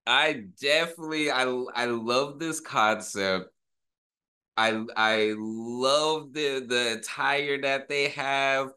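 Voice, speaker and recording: A man in his thirties hosts a casual reaction video. He speaks at an unhurried pace in a medium-pitched voice, slangy and full of enthusiastic awe. Faint music plays behind him. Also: pushed and bright, clean tone. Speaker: reaction video host, rapper. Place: small home room.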